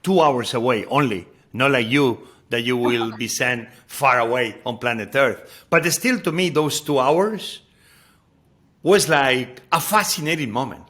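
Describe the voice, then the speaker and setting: A middle-aged man with a Spanish accent hosts a relaxed podcast conversation. His tone is bright and forward, and he stumbles and repeats words while reaching for the right phrase, at a middle pitch. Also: measured pace. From Spain. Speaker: podcast host. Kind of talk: conversational interview.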